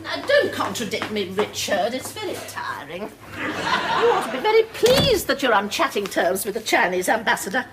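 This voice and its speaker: Haughty social-climbing housewife. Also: female voice, fairly high pitch, huge pitch swings, moderate pace. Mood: bossy. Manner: affected, grandly over-enunciated and condescending. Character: pompous, snobbish middle-aged suburban housewife. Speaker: snobbish housewife. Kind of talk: 1990s British TV sitcom acting. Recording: noisy TV sitcom track with audience laughter.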